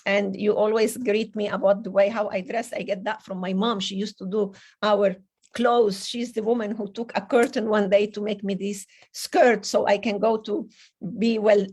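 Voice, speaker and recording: Earnest Venezuelan woman hosting a panel. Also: medium pitch. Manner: earnest, non-native phrasing with restarts. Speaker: panel host. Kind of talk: conference panel talk.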